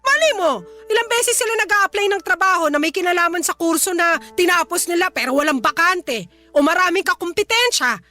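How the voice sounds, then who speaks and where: A woman's voice: very high-pitched, brisk, bright, forceful tone, soft background music. A woman playing an exasperated, scolding widowed mother in a radio drama. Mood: insistent. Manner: scolding, emphatic, insistent. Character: exasperated nagging widowed Filipino mother, scolding her son.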